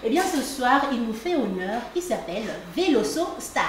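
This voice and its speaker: High-voiced woman host, very echoey room. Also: big pitch swings, moderate pace. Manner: playful, teasing. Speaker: talk show host. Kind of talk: talk show introduction and interview. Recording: very echoey room with faint noise.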